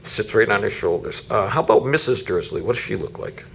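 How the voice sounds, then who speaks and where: Deep voiced guy tutoring, encouraging, pitch moves around a lot, casual questions. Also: animated, fairly quick, creaky. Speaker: reading tutor. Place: small meeting room, indoors. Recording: faint background noise, room ambience.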